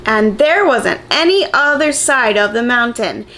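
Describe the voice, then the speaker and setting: Lively, high-voiced woman reading to children. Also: measured pace, slight background noise. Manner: expressive, encouraging, simple preschool-teacher delivery with gasps and exclamations. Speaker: preschool teacher reading picture books aloud. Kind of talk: children's story read-aloud with questions to young viewers.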